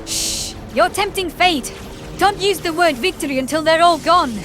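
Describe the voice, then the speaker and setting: Male actor as cautious woman officer, very high voice over music, scolding, superstitious, audio drama. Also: moderate pace. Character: wary, superstitious human woman space officer. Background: background music.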